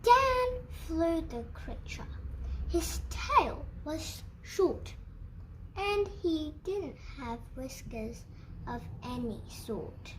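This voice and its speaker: A woman reading a children's storybook aloud as an expressive storyteller. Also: high-pitched, extremely slow, a little hiss or hum, poor audio.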